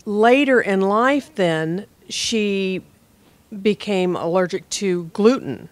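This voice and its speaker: Slow-speaking woman asking earnest questions. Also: mid-range voice, animated, no room sound. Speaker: audience member.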